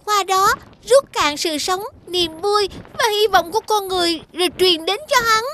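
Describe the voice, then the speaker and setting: A woman voicing a grateful, polite young bird princess in an animated fairy tale, formal and pleading. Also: very high voice, moderate pace, a little hiss or hum. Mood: earnest. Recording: music behind the voice.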